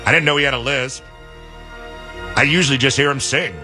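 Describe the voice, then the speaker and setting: A man's voice: medium pitch, over music. A man hosting sports radio, bantering with his co-host in a teasing, joking way. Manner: teasing, quick comic jabs.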